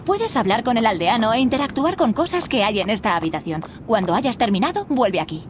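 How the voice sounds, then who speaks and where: A woman plays a kindly magical fairy guide from a video game. Her voice is high and very animated, encouraging and instructive as she explains things. There is clear noise behind her. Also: fairly quick, close to an everyday voice. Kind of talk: scripted game tutorial dialogue.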